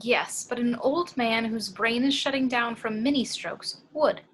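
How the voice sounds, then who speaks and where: A woman playing a gentle, persuasive, kind woman with a husky voice in an amateur table read. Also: fairly high pitch, unhurried, a little hiss or hum. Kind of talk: amateur at-home table read of a film script.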